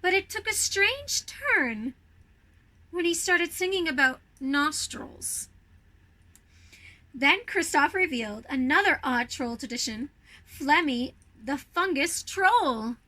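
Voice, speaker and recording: A woman reading a children's storybook aloud in a playful, expressive way, speaking to young listeners. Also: high-pitched, takes it slowly.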